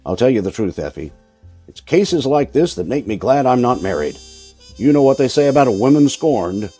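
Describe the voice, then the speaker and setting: A man acting a shrewd, world-weary detective in an old-time radio drama, deadpan and wry in delivery. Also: medium pitch, normal speed, soft background music, natural voice.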